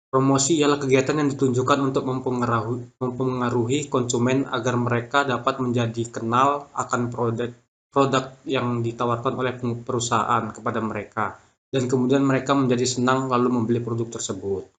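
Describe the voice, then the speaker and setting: A man's voice: medium pitch, steady tone, normal speed, fairly bright tone. A young man reading a prepared business presentation, stumbling on words and restarting them.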